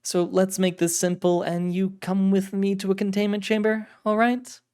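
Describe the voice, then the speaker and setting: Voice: mid-range voice, level tone, moderate pace, a little mellow, clean tone, voice pushed up, lightly acted. A narrator voicing a wary, businesslike woman who works as a containment agent in an audio story, direct and skeptical. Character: wary, businesslike female containment agent, exasperated but dutiful. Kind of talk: audio story character dialogue.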